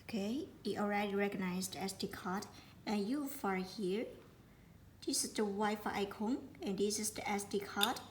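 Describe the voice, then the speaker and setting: A woman giving an unscripted product demonstration, speaking haltingly and often repeating words as she explains. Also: mid-range voice, slight background noise. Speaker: product presenter.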